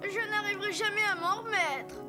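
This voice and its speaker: A woman's voice: very high voice, normal speed. A woman voicing a cheeky, sceptical, bossy little boy in a French-dubbed cartoon, blunt and mocking. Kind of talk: French-dubbed animated film acting. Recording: music behind the dialogue. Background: film score.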